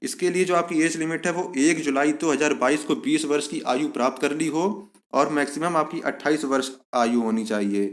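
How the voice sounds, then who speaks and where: Male exam-prep presenter, fairly high pitch, instructive read-and-explain delivery with repeated key points. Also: fairly quick, hardly any pauses. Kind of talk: informational explainer, reading out and explaining a notice.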